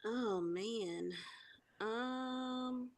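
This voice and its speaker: A woman's voice: fairly high pitch, very slow, no echo. A woman chatting casually as a guest on a radio talk show. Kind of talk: casual chat.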